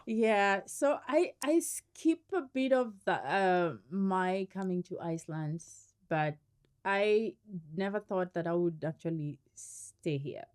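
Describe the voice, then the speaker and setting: A middle-aged woman from Kenya talks in an easy, conversational way as an interview guest. She tells her stories with lively energy and breaks into laughter often. Her voice sits at an ordinary mid pitch for a woman. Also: slow pace, clean tone. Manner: laughs often, animated storytelling. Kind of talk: casual interview chat.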